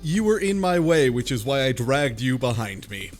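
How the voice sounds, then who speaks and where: A man's voice: medium pitch, very animated, moderate pace. A man talking casually as a panelist on a podcast about a game. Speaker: book club podcast panelist. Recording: music playing behind the talk. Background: music.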